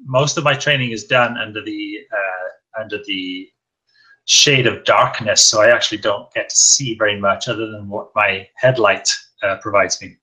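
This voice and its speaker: A man's voice: lowish voice, moderate pace, bright, forceful tone, poor audio. A man, chatting in an upbeat, friendly way as a guest on an interview show. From northwest Wales. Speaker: guest, endurance cyclist. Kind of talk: casual interview chat.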